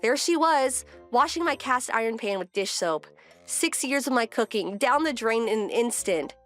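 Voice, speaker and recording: A woman's voice: fairly high pitch, lively intonation, normal speed, fairly bright tone, faint music behind. A woman playing a composed, wry wife who narrates her domestic story in a scripted manga dub. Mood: dismayed.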